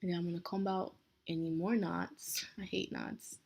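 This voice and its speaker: A young woman from Nigeria with a Nigerian accent, talking casually through a step-by-step hair tutorial, relaxed and chatty with small self-corrections. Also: on the low side, moderate pace, slightly rough.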